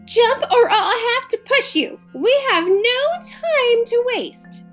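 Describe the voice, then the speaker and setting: A woman voicing a brisk, commanding male alien captain in a storybook reading, high and clipped. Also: expressive, fairly bright tone, soft background music, full character voice. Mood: stern.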